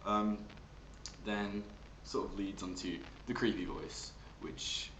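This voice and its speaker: Bright-voiced Englishman in an echoey room. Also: low voice, steady tone, slow pace. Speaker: amateur voice actor. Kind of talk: casual vlog demonstrating accents. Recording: echoey room, faint background noise.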